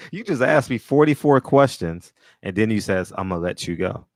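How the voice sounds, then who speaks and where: A man's voice: on the low side, no echo, studio quality. A man in his forties hosting a call-in show, chatting casually with callers in a laid-back, joking, slangy way. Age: 40s.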